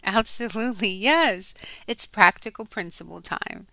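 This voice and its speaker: A woman's voice: mid-range voice, measured pace, creaky, no room sound. A woman hosting storytime, narrating expressively for children and speaking to them directly. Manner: expressive, addresses young listeners directly.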